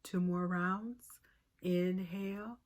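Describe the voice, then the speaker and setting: A woman with a slightly low voice guides a meditation at a very slow pace. She gives short, soothing cues and leaves pauses between them. Her manner is gentle and inviting, like a teacher leading practice. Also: fairly even intonation.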